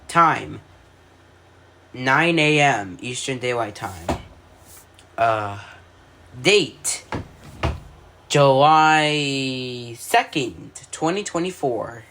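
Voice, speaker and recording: A man's voice: medium pitch, animated, extremely slow, pauses often, bright, forceful tone. Man narrating over noticeable background noise. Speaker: video creator narrating own fictional alert scenario. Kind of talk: amateur scripted narration of mock emergency broadcasts.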